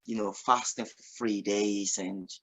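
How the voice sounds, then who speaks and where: Husky-voiced man hosting an interview. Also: fairly low pitch, fairly even intonation, measured pace. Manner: rambling, restarts questions, warm laughs. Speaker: radio show host. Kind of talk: casual interview chat.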